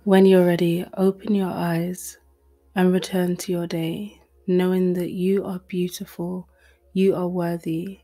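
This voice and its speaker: A woman leads a guided meditation in a hushed, breathy voice. Her delivery is very slow and even, with little rise or fall in pitch. Soft music plays behind her soothing words. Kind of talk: guided meditation, scripted narration.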